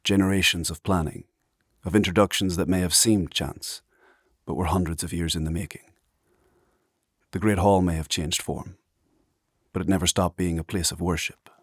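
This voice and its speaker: An actor playing a solemn monk who narrates his order's past in a measured, formal, reflective way for an audio drama. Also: low-pitched, fairly even intonation, no echo, clean studio sound.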